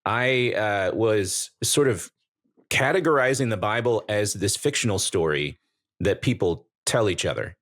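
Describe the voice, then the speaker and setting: A man in his forties from American Samoa, a guest telling his life story in a relaxed, joking way. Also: lowish voice, a little slow. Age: 40s. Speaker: guest, YouTuber. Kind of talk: casual interview chat.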